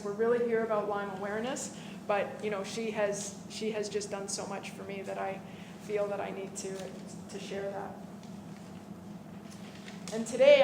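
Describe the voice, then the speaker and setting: Earnest woman speaking in an echoey hall. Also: medium pitch. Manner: earnest, halting, with restarts. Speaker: event speaker introducing the presenter. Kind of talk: personal testimony to a live audience. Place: indoor event hall. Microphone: stand microphone. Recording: echoey room with background noise.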